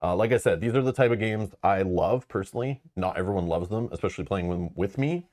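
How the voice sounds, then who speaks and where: Man with a very low voice, casual stream host, rambly, frank, lots of fillers. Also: fast talker, darkish tone. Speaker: board game channel host. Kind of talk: casual live-stream chat.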